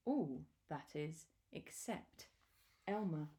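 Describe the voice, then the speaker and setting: A woman reading a children's picture book aloud in a deliberate, expressive storytelling manner with pauses. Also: sits low.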